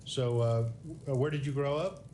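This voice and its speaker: A man questioning a witness as a lawyer in a formal deposition, halting and polite. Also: mid-range voice, mellow, poor audio. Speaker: plaintiff's lawyer. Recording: faint background noise, video call.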